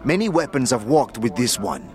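A man's voice: mid-range voice, very animated, moderate pace, soft background music, lightly acted. A man playing a stiff, formal warrior monk. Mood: solemn. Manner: stiff and formal, calls himself "this one". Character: male warrior monk bound by a vow of peace. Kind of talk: tabletop audio drama.

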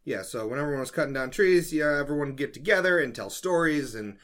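A man's voice: a little high, animated, fast, a bit bright. A man co-hosting a podcast in a casual chat about folklore, talking in a jokey, colloquial way. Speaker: podcast co-host.

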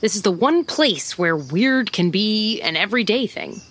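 A woman's voice: medium pitch, expressive, moderate pace, fairly bright tone. A woman playing a flirty, wisecracking small-town private eye in an audio drama, with a teasing, bantering manner. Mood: intrigued, teasing.

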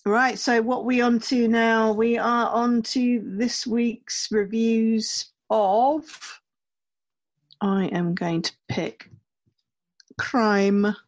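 A woman's voice: on the high side, fairly even intonation. A woman co-hosting a film review podcast, talking in an easygoing, casual way. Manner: easygoing, quick to laugh. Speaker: podcast co-host.

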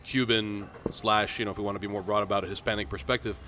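A man's voice: lowish voice, fairly even intonation, bright, forceful tone. Thoughtful man co-hosting a podcast. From Miami. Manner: thoughtful, asks leading questions, measured. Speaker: podcast co-host. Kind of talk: casual podcast chat.

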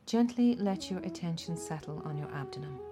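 A woman leading a guided body scan meditation, giving gentle, measured instructions with deliberate pauses. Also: low-pitched, lively intonation. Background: music.

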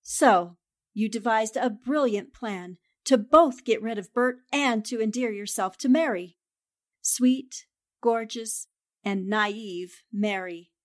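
A woman's voice: a little high, slow pace. A woman playing a shrewd, confident newspaper reporter with poised, theatrical delivery in a 1940s-style radio drama. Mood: cool accusation. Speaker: voice actor.

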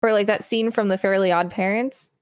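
A woman's voice: medium pitch, steady tone, brisk, smooth, no echo, studio quality. A woman co-hosting a podcast, reading an article aloud with casual commentary. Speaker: podcast co-host.

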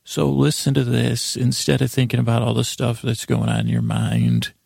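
An American man with a gravelly, raspy voice hosts a bedtime podcast in a rambling, improvised monologue, halting and stammering as he meanders. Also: medium pitch, huge pitch swings, darkish tone, dry recording, studio quality. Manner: meandering and halting, stammers and trails off mid-sentence. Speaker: host of a bedtime sleep podcast.

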